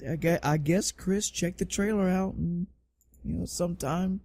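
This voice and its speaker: A man's voice: high-pitched, moderate pace, vocal fry, mellow, no echo. Sweary, emphatic male podcast host, dry sound. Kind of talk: casual podcast chat about video game movies.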